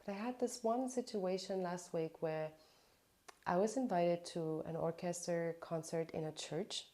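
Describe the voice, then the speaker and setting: A woman hosting a podcast interview, affirming her guest and telling personal stories in a lively, casual way. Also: on the low side, a little slow. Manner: warm encouraging listener, lively anecdotal storyteller. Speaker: podcast host.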